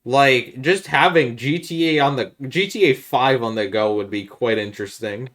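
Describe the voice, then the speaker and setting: A man's voice: mid-range voice, normal speed, a bit bright. A man talking in a casual gaming podcast style as a co-host, arguing his opinions.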